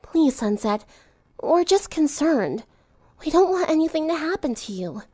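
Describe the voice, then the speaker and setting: A woman voicing a gentle, anxious teenage girl in a story reading, fretting over a friend. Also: high-pitched, very animated, measured pace, voice pushed up. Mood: anxious, caring.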